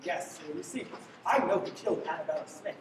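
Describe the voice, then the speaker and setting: A woman's voice: fairly low pitch, lively intonation, brisk, natural voice. A young woman playing a wry man on the run in a live radio play, with dramatic delivery. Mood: earnest, wry. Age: young adult. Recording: echoey, noisy livestream, distant mic.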